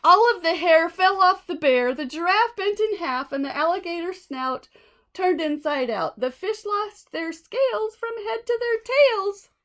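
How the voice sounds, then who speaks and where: A woman's voice: very high-pitched, animated, a little slow, fairly bright tone. A woman reading a children's storybook aloud expressively, with small asides to listeners. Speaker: storybook reader.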